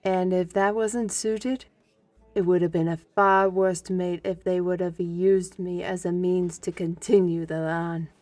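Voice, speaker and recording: A woman playing an earnest former slave turned scholar in an audio drama, telling a life story in a grave, deliberate way. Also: fairly low pitch, level tone, darkish tone, soft background music, natural voice. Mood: solemn, reflective.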